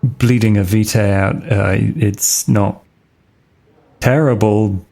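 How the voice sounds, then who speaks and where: A man playing a tabletop role-playing game, talking casually in a hesitant, halting way. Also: on the low side, steady tone, vocal fry, dark tone.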